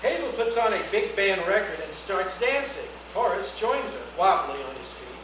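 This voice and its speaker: Guy reading screenplay stage directions, highish voice, far from mic, lots of echo and noise. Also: lively intonation, normal speed. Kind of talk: reading screenplay action lines aloud. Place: indoor reading before an audience, far mic. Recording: very echoey room, distant mic, noisy.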